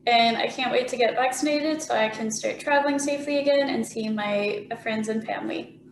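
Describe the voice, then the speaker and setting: A woman of 28 presenting a team project, explaining each step in a steady way. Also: fairly high pitch, brisk, slight background noise. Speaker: student presenter. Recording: echoey room.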